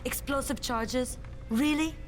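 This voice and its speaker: Blunt, sharp-tongued big sister. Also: female voice, high voice, slow, over music. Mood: scolding, exasperated. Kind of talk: video game voice acting.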